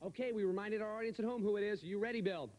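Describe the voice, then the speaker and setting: A young man hosting a children's game show in a TV studio, cheering players on energetically with a handheld mic. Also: high voice. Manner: energetic, shouting encouragement, rapid repeated cheers. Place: large indoor TV studio with audience.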